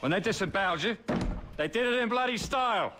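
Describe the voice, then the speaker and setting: An actor playing a menacing middle-aged mercenary villain, swaggering and theatrical in a video game cutscene. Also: male voice, high-pitched, expressive, fast, noisy background. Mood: gloating. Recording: loud background music.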